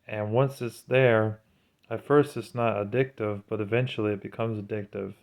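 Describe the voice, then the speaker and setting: A man with a fairly low voice talks in an informal, sermon-like monologue. He sounds earnest and admonishing, like a religious vlogger cautioning his viewers. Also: fairly even intonation, normal speed, gravelly, darkish tone. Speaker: vlogger giving religious commentary.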